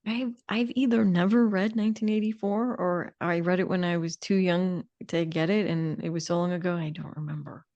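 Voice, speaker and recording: A woman's voice: on the low side, fairly even intonation, moderate pace, few pauses, mellow, lo-fi sound. A woman co-hosting a podcast discussion, speaking casually with playful, colourful phrasing. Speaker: podcast co-host.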